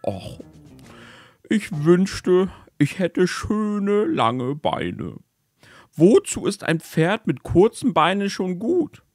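A man voicing a gentle, sad male horse with short legs, speaking plaintively in a storybook tale. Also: medium pitch, expressive, takes it slowly, no room sound, faint music behind. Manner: plaintive, pitying.

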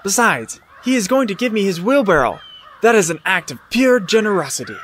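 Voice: medium pitch, sing-song, fairly quick, a bit bright. A performer playing a meek, naive little man, humble and earnest, in an audio storybook drama. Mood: hopeful. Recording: faint background noise.

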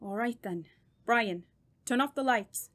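A woman playing a grave, authoritative doctor of the supernatural in a horror radio drama, speaking deliberately and giving clipped orders. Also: a little high, background noise, lightly acted. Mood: commanding. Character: commanding, grave female doctor investigating the supernatural. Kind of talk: old-time radio horror drama acting.

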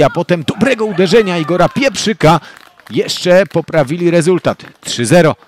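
Male football commentator, slightly high voice, scripted highlights narration. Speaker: match commentator.

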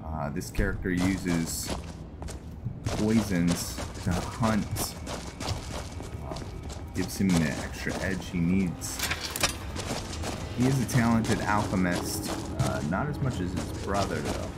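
A man giving casual, halting gameplay commentary as he thinks aloud in a laid-back manner. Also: low-pitched, heavy background noise. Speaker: video game streamer, host. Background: music.

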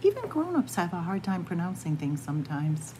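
A woman reads a picture book aloud to young children as a library storyteller. Her pitch is animated and rises and falls expressively. She sits in a library room, with clear background noise in the recording. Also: brisk. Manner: expressive, addressing young listeners as friends. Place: indoors, library room with bookshelves.

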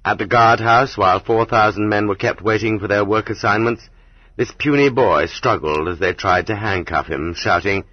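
A man reading an audiobook as scripted literary narration, in a measured, dramatic manner. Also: lowish voice, breathy edge, no echo. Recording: slightly dull, muffled audiobook recording.

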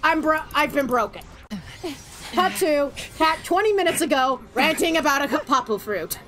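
A woman streaming a video game, with excitable, theatrical, ranting live commentary. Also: high voice, normal speed. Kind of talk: live gameplay commentary and chat. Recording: loud game music behind. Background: video game music and effects.

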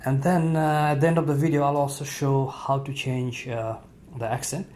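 Man explaining in halting, unscripted tutorial talk. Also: mid-range voice. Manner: relaxed, halting with frequent "uh" fillers. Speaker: tech video host. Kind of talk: casual spoken tutorial.

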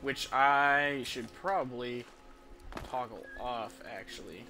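A man's voice: medium pitch, takes it slowly. A young man giving casual, informal gameplay commentary as a streamer, thinking aloud. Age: young adult. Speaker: game streamer. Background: game music.

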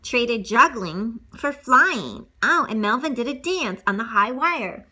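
Bright-voiced woman reading a storybook. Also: a little high, lively intonation, slow, husky. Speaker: storybook reader for children. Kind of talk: read-aloud storytelling with casual asides.

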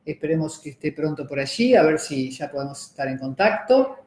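A woman's voice: sits low, expressive, brisk, a little mellow. A middle-aged woman with an Argentine accent hosting an interview and asking hesitant questions with fillers, seated at a table in a room. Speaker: host. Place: room with wooden table and screen. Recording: roomy echo.

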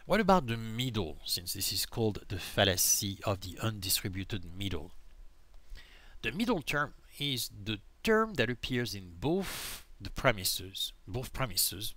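Lively lecturing man, bright dry voice. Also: on the low side, no echo. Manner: explanatory, frequent "right?" checks and self-corrections. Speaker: philosophy lecturer. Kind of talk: recorded slide lecture.

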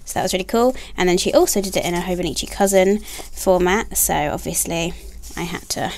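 A young woman chats gently through a sticker haul, full of ums and likes. Her voice sits low with a gravelly fry, and she sometimes drops almost to a whisper while showing sheets. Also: fairly quick. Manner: soft, gushing, with many ums and likes. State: has a bad cold, nasal and throaty. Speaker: planner sticker haul vlogger. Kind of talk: casual haul chat. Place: indoors at a table, filming her hands.

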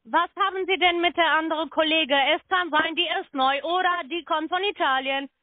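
Female call centre agent, very high pitch, confrontational, non-native phrasing, narrow phone audio. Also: moderate pace, no room sound. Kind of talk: argumentative phone conversation. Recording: narrow tinny phone line.

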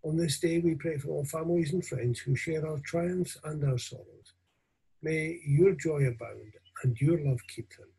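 An older man, a minister, reading formal prayers solemnly, reverently and deliberately. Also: fairly high pitch, gravelly, dark tone, slightly rough, rough recording. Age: 60s or older. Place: small room at home. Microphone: video call or webcam at desk distance.

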